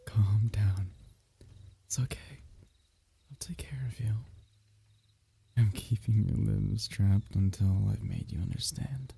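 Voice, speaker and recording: Guy doing a low gravelly alien symbiote for asmr, husky n breathy, soft possessive coaxing. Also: heavily acted. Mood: soothing, coaxing. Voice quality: husky, breathy, often dropping into whisper. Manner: soft, coaxing, intimate, reassuring. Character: possessive, soothing alien symbiote, male, semi-liquid creature. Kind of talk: ASMR roleplay.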